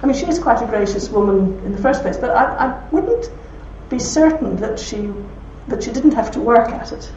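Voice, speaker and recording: A woman with a broad Belfast accent speaking to an audience, telling anecdotes with warmth and laughter. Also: a little high, lively intonation, moderate pace, few pauses, a little hiss or hum. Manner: warm anecdotal storytelling with laughs. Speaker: speaker at a talk. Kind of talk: talk with audience questions. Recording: roomy hall from far off, dull.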